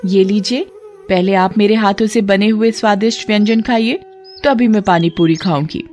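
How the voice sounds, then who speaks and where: A woman's voice: medium pitch, moderate pace, darkish tone, faint music behind, lightly acted. A woman voicing a brave, clever young village girl in a cartoon story, raised and urgent. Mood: defiant.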